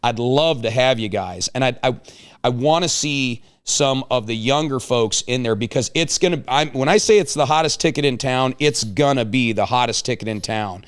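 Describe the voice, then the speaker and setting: A man's voice: mid-range voice, normal speed, clean tone. A man hosting a casual talk show, chatting with guests in a playful, joking way. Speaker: show host.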